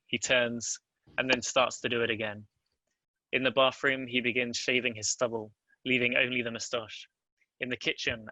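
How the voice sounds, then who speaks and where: Bright, even-toned man reading stage directions. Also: medium pitch, dry recording, low-quality recording. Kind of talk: scripted narration at a table read.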